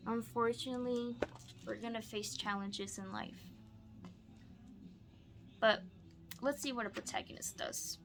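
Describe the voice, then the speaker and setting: A woman teaching through a picture-book read-aloud, moving between expressive storybook reading and casual explanatory asides. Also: a little high, unhurried, long pauses, music in the background.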